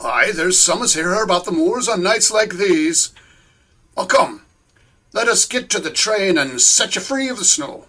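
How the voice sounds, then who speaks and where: A man plays a helpful Scottish countryman from the moors. His voice is high for a man and brightly projected, with a Scottish accent. The setting is a period audio drama. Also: lively intonation, measured pace, full character voice. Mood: matter-of-fact. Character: helpful Scottish countryman rescuer, telling moorland lore.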